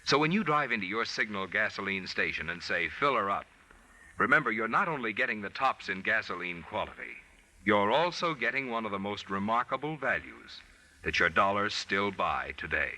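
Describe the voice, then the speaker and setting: Male sponsor announcer, lowish and piercingly bright, emphatic sell, lightly noisy vintage radio. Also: expressive, normal speed. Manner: emphatic, polished salesmanship. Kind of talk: 1940s radio commercial announcing. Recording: vintage 1940s radio recording, faint noise.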